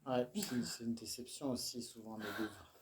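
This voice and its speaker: A man in a casual discussion, speaking in a relaxed way and thinking aloud with fillers. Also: mid-range voice, normal speed, slight background noise. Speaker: poet.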